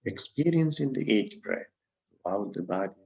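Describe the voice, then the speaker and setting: A man with a fairly low voice and a strong creak guides a meditation. He speaks gently in short, unhurried phrases, leaving long pauses between them. The recording sounds slightly dull and muffled. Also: expressive. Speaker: Buddhist monk, meditation teacher.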